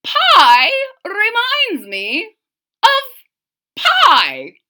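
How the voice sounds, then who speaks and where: A woman voicing an unhurried, self-satisfied, very old male wizard in a storybook, speaking in a drawn-out, deliberate way. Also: very high-pitched, huge pitch swings, sharp tone, pitched above the performer's own voice, exaggerated voice. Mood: slow, savouring.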